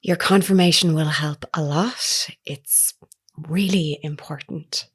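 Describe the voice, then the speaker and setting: A woman reporter with a slightly low voice. She is earnest and persistent, speaking in a formal, measured, insistent way, as in a natural audio drama. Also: steady tone, husky, exaggerated voice.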